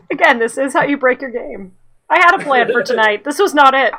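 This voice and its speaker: A woman in her thirties running a tabletop game over a headset on a video call, laughing often, her voice getting hoarse from laughter. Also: high voice, expressive, moderate pace, a crowd in the background. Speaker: game master. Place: small room at home, video call.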